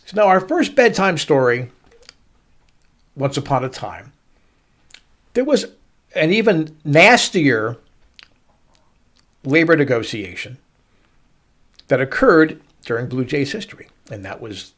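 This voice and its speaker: A middle-aged man hosting a baseball show, telling a story to listeners with emphatic, dramatic pauses and stretched-out words. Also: mid-range voice, very animated, fairly bright tone. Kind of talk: storytelling sports commentary to listeners.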